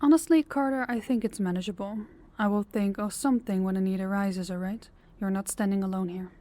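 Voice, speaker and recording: A woman playing a composed, stern female crime boss in an audio drama, speaking deliberately and with authority. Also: mid-range voice, fairly even intonation, mellow, natural voice. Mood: composed, approving. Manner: authoritative, deliberate, clipped orders. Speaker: voice actor. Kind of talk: audio roleplay drama acting.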